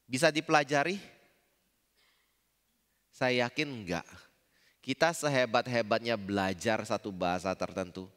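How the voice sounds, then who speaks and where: A man's voice: mid-range voice, pushed and bright, clean tone, big-room sound. A man with a Javanese accent, a pastor preaching a sermon and asking his congregation rhetorical questions. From Indonesia.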